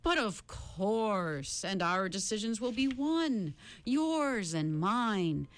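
A woman reading an audiobook, voicing a sinister, bland man who mocks children and chants numbers slowly. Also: mid-range voice, expressive, dry recording, voice pushed up. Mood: chanting. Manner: slow, drawn-out, chanting.

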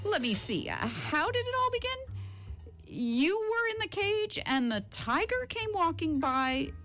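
Woman as a sly storybook jackal, high swooping pitch, dithering, stammering, wailing, servile pretend fool. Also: sing-song, unhurried, a bit bright, faint music behind, heavily acted. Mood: feigned anguish. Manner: stammering, muddled, wailing with repeated words. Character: cunning jackal feigning dim-witted, fretful, grovelling servility.

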